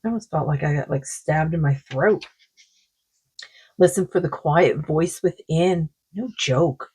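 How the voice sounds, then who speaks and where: A woman with a sore throat giving a rambling, casual oracle card reading, full of fillers and slow read-aloud passages. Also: low voice, warm tone, slightly rough. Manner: rambling, many fillers, reads card texts aloud slowly. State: sore throat, losing her voice.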